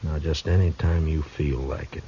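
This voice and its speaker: An actor playing a cool, stern lawman, terse and deadpan, in an old Western radio drama. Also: very deep voice, moderate pace, slightly rough. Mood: cool, defiant. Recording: muffled, hissy old radio recording.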